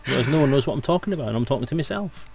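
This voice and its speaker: A man's voice: medium pitch, animated, very fast, vocal fry, a little mellow. A man hosting a live stream in casual, unscripted, rambling chat.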